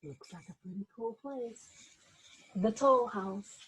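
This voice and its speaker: A woman reading a children's picture book aloud as host, in an expressive storytelling manner with little asides to young listeners. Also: fairly high pitch, slow pace, breathy edge, rough recording.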